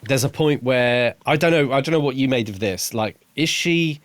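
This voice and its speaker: A man's voice: mid-range voice, moderate pace, dry recording. A man co-hosting a podcast, discussing a book casually in a tentative, reflective and agreeable way. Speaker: podcast co-host.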